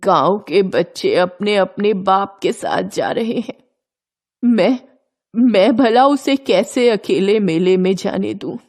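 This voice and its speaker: A woman's voice: medium pitch, a little mellow. A woman playing a poor, anxious old grandmother in a dramatised children's story, in a plaintive, lamenting manner.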